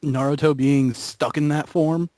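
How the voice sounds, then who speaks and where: A man chatting casually and ramblingly with friends in a geeky, halting way. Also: on the high side, steady tone, darkish tone, no room sound, studio quality. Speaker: member of a gaming commentary group. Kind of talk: rambling casual group chat.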